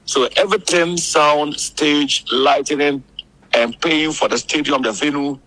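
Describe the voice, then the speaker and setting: Husky Ghanaian man interviewed by phone. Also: on the high side, unhurried, pushed and bright, no echo, rough recording. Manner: repeats phrases, often says "you know". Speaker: interview guest, entertainment media insider. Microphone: phone call. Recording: husky, rough radio phone-in audio.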